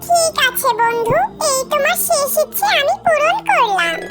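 Kindly wish-granting cartoon fish, gentle and formal, tiny piping voice with prominent music behind. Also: extremely high pitch, expressive, moderate pace. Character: kindly magical golden fish granting wishes. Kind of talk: children's cartoon voice acting.

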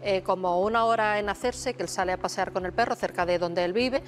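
A woman's voice: mid-range voice, fairly quick, soft background music. A woman on a TV talk show panel who insists on her points and recounts what sources told her. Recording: TV broadcast audio with faint noise.

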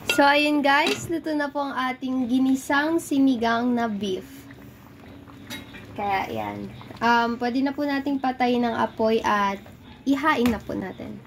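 A woman's voice: high voice, fairly even intonation, slow, background noise. Giggly young woman cooking-vlog host. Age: young adult. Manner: chatty, giggly, polite and playful. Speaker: cooking vlogger. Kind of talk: casual cooking vlog chat. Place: dark indoor room, seated at a table.

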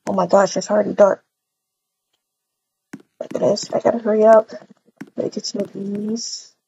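Woman doing a mom in a game roleplay, kinda muttering, slow n creaky, dull lo-fi mic w some noise. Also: medium pitch, level tone, warm tone, close to an everyday voice. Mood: muttering, harried. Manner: hesitant, murmuring, thinking aloud. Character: harried, affectionate young mother running errands. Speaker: roleplay video creator. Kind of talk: improvised game roleplay, muttering to herself and a child.

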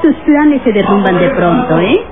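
A mocking, sly schemer in a vintage radio drama. The high voice swings widely with sneering taunts. It sounds tinny, like an old broadcast. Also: male voice, lively intonation, moderate pace, a loud crowd. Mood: gleeful mocking. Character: mocking, sly male sidekick needling the villain.

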